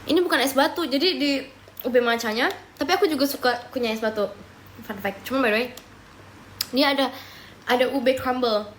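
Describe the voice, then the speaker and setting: Young woman, live streamer, casual comment chat, slips into English, fairly high voice, faint room hum. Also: fairly quick, pauses often, a bit bright. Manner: chatty, often switching into English mid-sentence; talks while eating and sipping a drink. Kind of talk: casual livestream chat answering viewer comments.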